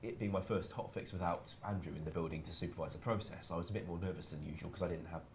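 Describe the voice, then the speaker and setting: A man's voice: sits low, quick pace, background noise. A man telling a work anecdote casually as a game developer, in a dry, understated, precise way. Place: live stream room in an office.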